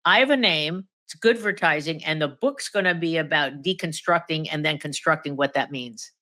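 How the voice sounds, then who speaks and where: Bright, chatty woman interviewing a guest. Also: sits low, normal speed. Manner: curious, chatty, jumps in with affirmations. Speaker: podcast host. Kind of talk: interview hosting.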